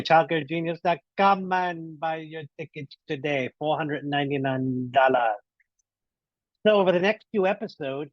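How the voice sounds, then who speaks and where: A man's voice: fairly high pitch, no echo, highs cut off. A man hosting a podcast interview, upbeat and rambling, often restarting his sentences. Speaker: podcast host.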